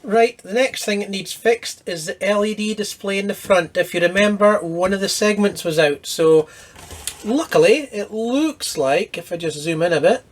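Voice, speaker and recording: A man's voice: high voice, moderate pace. A man hosting a casual hands-on repair walkthrough, explaining each step in a matter-of-fact way. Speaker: host of an electronics repair channel.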